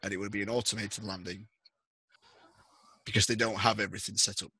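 A man with a husky voice narrating a tabletop roleplaying game as game master, in a halting, hesitant way. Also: on the low side, level tone, bright, forceful tone, no echo. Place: indoors, on a group video call.

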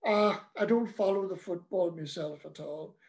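A man's voice: high voice, normal speed, a little mellow. A man voicing a cheery, pushy, middle-aged parish priest who chats on breezily, heedless of the people around him. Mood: breezy. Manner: chatty, breezy, oblivious.

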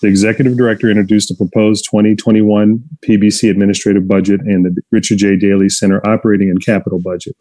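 A man's voice: lowish voice, level tone, quick pace, hardly any pauses, dark tone, no echo. A man, a commissioner, reading a committee report steadily and formally on a video call with earphones. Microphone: headset earphones on a video call.

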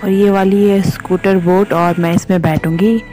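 A woman's voice: medium pitch, steady tone, normal speed, mellow, soft background music. A woman narrating a casual vlog, explaining plainly what is being shown, like a tour guide. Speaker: vlogger narrating.

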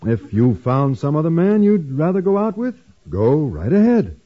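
An actor playing an earnest, bashful, easily wounded American schoolteacher with a stiff, proper manner in a 1950s radio comedy. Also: male voice, a little high, sing-song, moderate pace. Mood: wounded, jealous. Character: earnest, jealous, self-effacing bashful male schoolteacher. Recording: dull band-limited 1950s radio recording.

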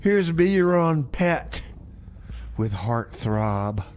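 A man presents music on the radio at an unhurried pace. His delivery is folksy and self-deprecating, with hesitations and repeated phrases. There is some noise behind his voice. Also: on the high side, sing-song, a little mellow. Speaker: radio DJ and show host. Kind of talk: rambling music radio presenting between songs.

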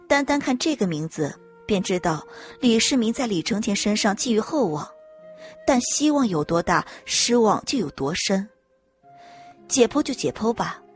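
A woman reading scripted dramatic audiobook narration in an expressive storytelling manner. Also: medium pitch.

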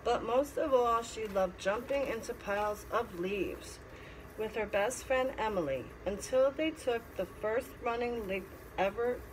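A middle-aged woman reads a picture book aloud as a teacher, pausing to explain and ask simple questions. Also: fairly high pitch, rough recording. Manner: instructive, asking simple questions. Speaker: teacher reading to children. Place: classroom. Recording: clear background noise.

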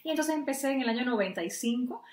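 A woman's voice: high-pitched, animated, fast talker, a crowd in the background. Chatty radio lady from puerto rico, laughs a lot, roomy echo. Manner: warm, chatty, laughs often. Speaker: radio host, guest. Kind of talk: interview answers.

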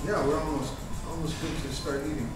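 A man's voice: fairly high pitch, steady tone, fairly quick, over loud music. A man in his forties chatting casually as a chef giving a cooking demonstration. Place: hotel room set up for a cooking demonstration. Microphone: camera several meters away. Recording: roomy, distant mic, loud background noise.

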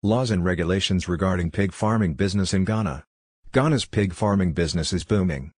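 A man narrating a scripted farming guide in a steady, even reading voice. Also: low-pitched, brisk, husky, dry recording.

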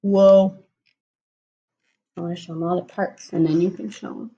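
A woman's voice: lowish voice, little pitch movement, moderate pace, dark tone, highs cut off. A woman in her thirties reads a picture book aloud to a young child, stopping to explain each picture patiently in simple words. She sits at a home kitchen table, and a webcam a little way off picks up her voice. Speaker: speech therapist reading to a child. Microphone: laptop or webcam at table distance.

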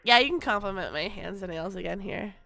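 A young woman streaming casually, with a stuffy nose from allergies, loud and prone to sudden screaming. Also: mid-range voice, animated, brisk, vocal fry, soft background music. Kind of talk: casual livestream chat.

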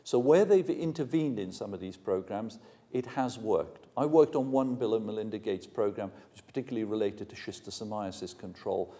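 A man's voice: on the low side, moderate pace, hardly any pauses, warm tone. A man in his sixties from Wales, giving a frank, plain-spoken public lecture and answering questions in a large hall. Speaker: university lecturer. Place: large ornate hall, indoors.

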